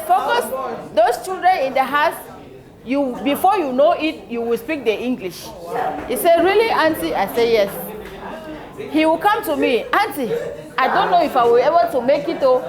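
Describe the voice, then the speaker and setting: A woman's voice: high-pitched, animated, a little slow, pauses often. A middle-aged woman giving a birthday toast at a party, telling a story and laughing often. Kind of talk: birthday speech. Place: party hall. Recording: loud crowd at a party.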